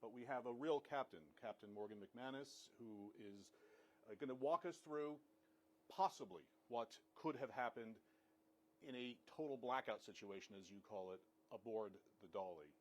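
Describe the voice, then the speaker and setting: A man's voice: mid-range voice, moderate pace. A male news reporter conducting a field interview, asking prompting questions. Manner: prompting, inquisitive.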